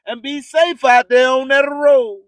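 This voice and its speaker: A man's voice: very high-pitched, steady tone, unhurried, dry recording, studio quality. A man hosting a radio show, delivering an emphatic, preachy motivational monologue full of streetwise slang and repeated rhyming slogans. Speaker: radio host.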